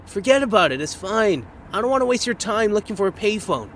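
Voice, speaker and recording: Man playing a high-voiced, guarded teenage boy. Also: big pitch swings, fairly quick, fairly bright tone, a little hiss or hum. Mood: evasive. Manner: hesitant, defensive. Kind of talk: audio drama character acting.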